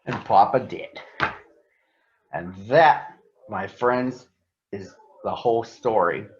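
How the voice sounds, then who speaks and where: Slow-reading man in an echoey room. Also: lowish voice, slight background noise. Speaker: storybook reader for children on a recurring show. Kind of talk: read-aloud children's story narration. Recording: echoey room, slightly dull sound.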